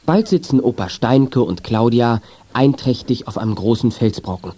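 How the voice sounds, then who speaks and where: A man's voice: medium pitch, lively intonation, normal speed, warm tone, a little hiss or hum. A man narrating a children's audio drama from a script, as the storyteller.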